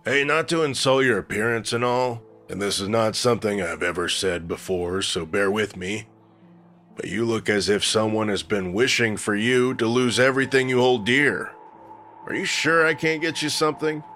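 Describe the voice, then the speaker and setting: An actor playing a wry, deadpan bartender who hosts spooky tales in a comedy horror radio play. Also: male voice, fairly low pitch, normal speed, soft background music, natural voice. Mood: affable, deadpan.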